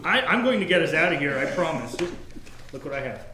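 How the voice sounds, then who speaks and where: Cocky young inventor, male actor, comedy table read: high, excitable, optimistic, noisy reverberant room. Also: brisk. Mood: confident. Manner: boastful, breezy, excitable. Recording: echoey room, noisy background, clicks.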